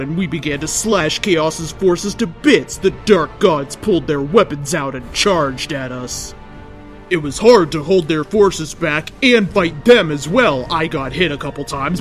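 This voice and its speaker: A man with a fairly high voice reads a fan fiction story in the first person. His delivery is dramatic and declamatory, with music softly behind him. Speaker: story reader.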